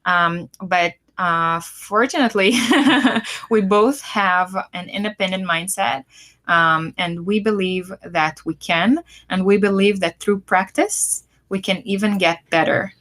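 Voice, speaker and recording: A woman's voice: medium pitch, a little slow, fairly bright tone. Woman w/ hebrew accent cohosting a chat, thoughtful, lots of ums. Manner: thoughtful, many fillers and restarts. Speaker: podcast co-host. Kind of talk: casual chat.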